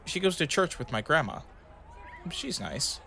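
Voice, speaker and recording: A man's voice: on the high side, big pitch swings, measured pace, vocal fry, sharp tone, some chatter behind, heavily acted. A man acting in an audio drama as a reflective storyteller and his shy, self-conscious younger self. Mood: shy, halting. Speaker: narrator and lead actor telling his own story. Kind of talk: audio drama narration and acted dialogue.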